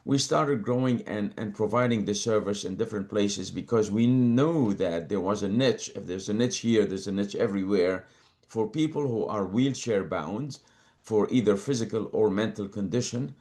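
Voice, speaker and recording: An older man from Lebanon, a company co-founder talking as a guest in an interview, measured and prone to repeating words as he gathers his thoughts. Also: fairly low pitch, darkish tone. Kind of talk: interview conversation.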